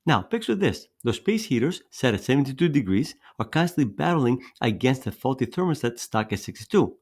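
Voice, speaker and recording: Gravelly Greek-raised man pitching a method. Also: sing-song, a little fast. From Greece. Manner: earnest and persuasive, with occasional repeated words and fillers. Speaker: fitness coach presenting his weight loss method. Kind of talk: scripted motivational presentation.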